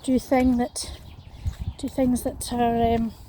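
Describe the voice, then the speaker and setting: A Scottish woman vlogging as she walks along an outdoor path in a small town. Her voice is croaky, and her talk is full of fillers and restarts. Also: a little high, level tone, slow pace, warm tone, slight background noise. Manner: hesitant, many fillers and restarts. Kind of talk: walking vlog chat.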